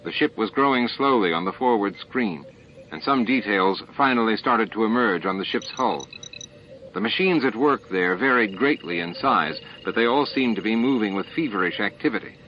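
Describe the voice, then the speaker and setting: A man's voice: fairly low pitch, lively intonation, moderate pace, slight background noise. A man reading a science fiction novel as a scripted audiobook narration. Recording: muffled, band-limited tape audio.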